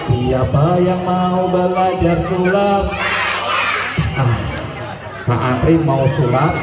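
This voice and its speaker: Male children's storyteller, high-pitched, rousing and playful call-and-response delivery, heavy echo in a big space. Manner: playful, exclaiming, draws out words to rouse the children. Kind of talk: lively live address to children, call-and-response. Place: large reverberant space before an audience of children. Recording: echoey hall, distant PA sound, children's crowd.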